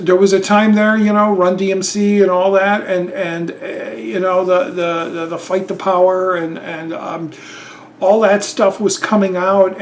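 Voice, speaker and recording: A man's voice: high voice, slight background noise. A man, casually rambling through a tag video with lots of fillers and restarts. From Chicago, Illinois. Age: 50s. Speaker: YouTube channel host.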